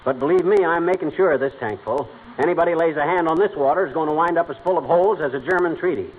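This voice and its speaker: An actor playing a blustering, folksy American husband in a 1940s radio comedy. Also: male voice, on the high side, quick pace, lightly acted. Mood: gruff, grudging. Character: blustering, folksy middle-aged husband, stubborn and boastful. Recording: tinny, noisy 1940s radio recording.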